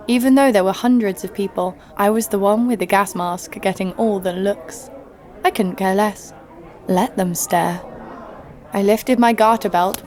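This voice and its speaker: A woman's voice: medium pitch, lightly acted. A woman playing a brash, sardonic young adventurer, blunt and sarcastic, narrating her exploits in an audio drama.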